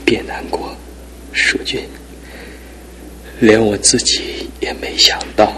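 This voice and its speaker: A man's voice: on the low side, little pitch movement, takes it slowly, bright, forceful tone, in a whisper, low-quality recording. An actor playing a young man injured in a fall, weak and despairing, in a radio drama with music behind. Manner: swings between faint murmurs and anguished cries.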